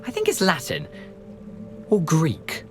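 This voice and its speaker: A man's voice: a little high, huge pitch swings, takes it slowly, soft background music, heavily acted. An actor playing a cheeky, wary young male ghost in a video game, with a wry, wordy, theatrical manner. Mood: wry, reluctant. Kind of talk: video game character acting.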